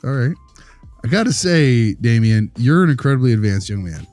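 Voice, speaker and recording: A man's voice: mid-range voice, very animated, normal speed, a little mellow, no echo, faint music behind, natural voice. A man playing an affable, slightly awkward visiting consultant, chatty, informal and rambling, in improvised audio drama. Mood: polite, probing.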